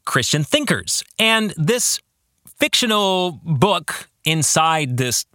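Animated, bright-voiced man teaching on a podcast. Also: on the high side, slow, no room sound, clean studio sound. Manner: academic, emphatic, asks the listener reflective questions. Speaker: podcast host and theology teacher. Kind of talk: book discussion podcast, teaching and reading quotations aloud.

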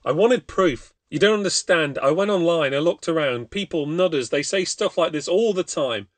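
A man's voice: fairly high pitch, moderate pace, no room sound, pitched above the performer's own voice, close to an everyday voice. A man voicing a panicked young man haunted by a ghost, breathless and pleading. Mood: frantic.

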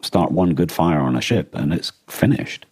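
A man co-hosting a podcast in reflective conversation, speaking earnestly in halting, emphatic phrases. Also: very deep voice, animated, darkish tone, slightly rough, dry recording. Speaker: podcast co-host.

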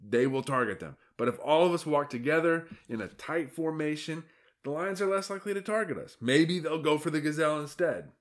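A man's voice: on the high side, expressive, brisk, pitched above the performer's own voice, lightly acted. A man voicing Hector, an anxious male zebra urging his herd with exasperated pleading.

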